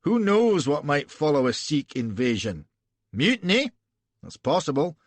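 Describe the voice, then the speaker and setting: A man doing a high, arrogant Scottish officer. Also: very animated, measured pace, no echo. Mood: grim. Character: high, arrogant male Scottish frontier agent.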